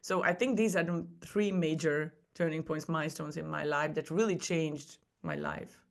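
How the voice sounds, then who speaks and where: A woman's voice: low voice, lively intonation, moderate pace, creaky. A woman who speaks English as a second language, telling personal stories as a guest on a podcast. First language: not English. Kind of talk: personal storytelling in a conversation.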